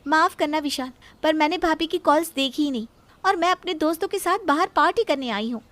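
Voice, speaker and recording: A woman's voice: high-pitched, fairly quick, fairly bright tone, dry recording. A woman playing a resentful, defiant young wife with a sarcastic, complaining manner in a family story. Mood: defensive.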